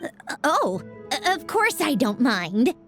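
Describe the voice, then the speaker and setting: Tsundere-type young woman for a game: prickly, proud, high and very animated, deliberate pace. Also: faint music behind, heavily acted. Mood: reserved. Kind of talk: video game voice acting.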